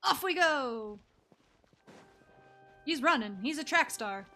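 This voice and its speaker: Woman live-streaming a game: casual, silly, bright high voice, unhurried, light music behind. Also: expressive. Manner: playful, giggly, little exclamations and sound noises.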